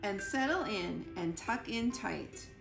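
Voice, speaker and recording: A woman reading a children's picture book aloud in an expressive storytime style, with deliberate, playful emphasis on the rhyming words. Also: medium pitch, vocal fry. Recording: roomy echo with music behind. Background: music.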